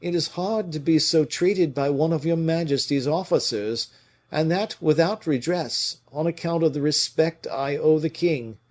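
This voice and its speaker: A man's voice: on the high side, measured pace, a little mellow, pitched above the performer's own voice. A man voicing a deferential, aggrieved royal clerk with a faltering, wheedling manner in an audiobook. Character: obsequious, aggrieved male royal clerk, cautious schemer. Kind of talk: dramatic audiobook character reading.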